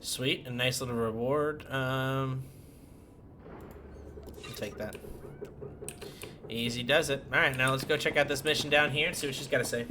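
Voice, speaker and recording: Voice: medium pitch, steady tone, moderate pace, pauses often, noisy background. A streamer giving casual commentary while playing a video game, with keyboard clicks around the voice.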